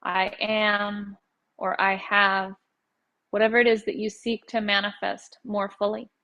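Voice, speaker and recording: Female meditation guide, calm and measured, very level mid-range pitch, unhurried phrasing with pauses. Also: lo-fi sound.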